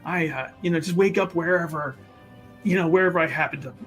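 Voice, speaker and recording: Guy doing a shady occultist dude for a tabletop game, kinda high voice, excitable rambling, faint music under it. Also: a little fast, close to an everyday voice. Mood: excited, reckless. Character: roguish, thrill-seeking male occultist, shady and conspiratorial.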